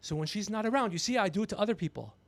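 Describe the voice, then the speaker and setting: A man hosting a livestream, rambling comedically with blunt, profane, teasing banter and a creaky voice. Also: high voice, expressive, racing. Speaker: podcast livestream host.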